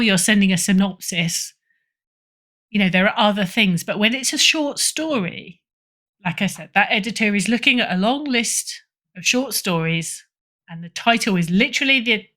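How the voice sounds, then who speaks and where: A woman's voice: mid-range voice, fairly bright tone. A woman speaking as an editor guest in a casual interview, emphatic and opinionated in a conversational way. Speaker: podcast guest, fiction editor.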